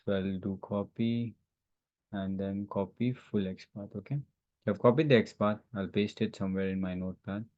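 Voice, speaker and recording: A man with a fairly low voice leads an informal live software lesson. He talks haltingly, thinking aloud while he demonstrates on screen. The call audio is dull and lo-fi. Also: fairly even intonation, no room sound. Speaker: instructor. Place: online class call with screen sharing.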